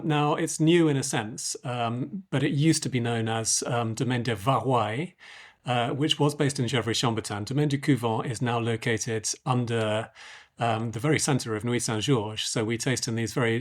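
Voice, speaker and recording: A man with a slightly croaky voice talks through wine tastings on a podcast. His delivery is casual and unhurried, sitting at a middle pitch and peppered with ums as he gathers his thoughts. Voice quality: hesitant, frequent ums. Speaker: wine merchant podcast co-host. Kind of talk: casual expert discussion.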